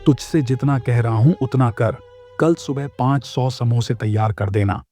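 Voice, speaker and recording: A man's voice: mid-range voice, normal speed, dark tone, soft background music, close to an everyday voice. A man voicing a jealous, bossy, scheming shop owner in a children's cartoon. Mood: bossy, stern. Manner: bossy, grumbling.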